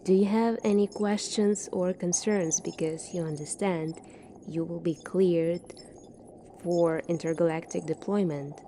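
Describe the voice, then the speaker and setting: A soft-spoken woman doing an ASMR roleplay as a calm military doctor, asking questions in a measured way. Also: lowish voice, slight background noise. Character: calm seasoned future military doctor examining a space recruit.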